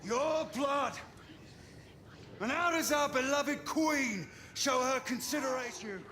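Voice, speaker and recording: A man's voice: very high-pitched, slow pace, background noise. A man playing an angry rebel orator giving a fiery, rabble-rousing street speech. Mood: defiant.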